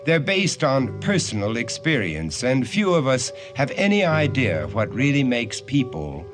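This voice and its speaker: A man's voice: mid-range voice, wildly swooping pitch, measured pace. A man delivering a scripted introduction as host of a radio drama. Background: music.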